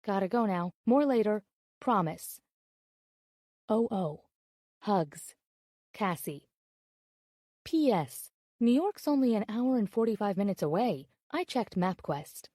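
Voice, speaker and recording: Mellow, close-up actress as coy woman letter writer. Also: medium pitch, expressive, takes it slowly, pauses often, dry recording. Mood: coy, chatty. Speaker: audiobook narrator. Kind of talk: scripted audiobook narration.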